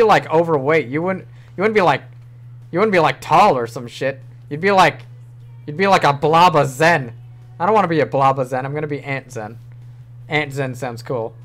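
High-voiced young man with a New York accent. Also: a bit bright. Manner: rambling, swears casually, laughs often. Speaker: streamer. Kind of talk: casual livestream chat. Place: small home room.

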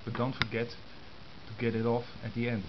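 A man giving an informal step-by-step tutorial in short, halting phrases, picked up by a camera's built-in microphone. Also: medium pitch, monotone. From Netherlands. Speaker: amateur radio hobbyist giving a tutorial. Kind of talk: informal step-by-step explanation of hand-drawn diagrams. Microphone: compact camera's built-in microphone. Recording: muffled camera microphone with hiss.

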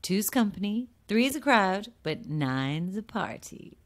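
A woman's voice: mid-range voice, sing-song, takes it slowly. An actress playing a sardonic, world-weary woman trapped at an endless cursed party, dry and blunt, in an audio drama. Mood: ominous, weary.

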